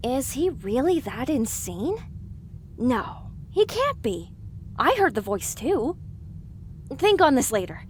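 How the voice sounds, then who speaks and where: An anxious young mare voiced by a woman in an audio drama, high and earnest. She sounds bewildered as she questions herself, with faint noise behind her. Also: very animated, slow pace, long pauses, heavily acted. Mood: worried. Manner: urgent self-questioning.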